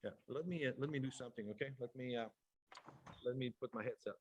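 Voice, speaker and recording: A man's voice: medium pitch, quick pace, no echo, highs cut off. A man from Quebec with a French Canadian accent, giving a webinar sales presentation on a headset, hesitant with many fillers. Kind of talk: casual presentation.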